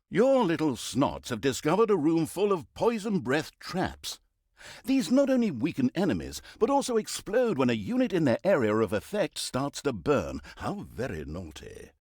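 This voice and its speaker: Wildly expressive, sardonic game narrator. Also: male voice, on the high side, no room sound, studio quality. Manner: theatrical, sardonic, mock-pompous. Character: mocking, theatrical male narrator of villainous deeds. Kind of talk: comic scripted narration.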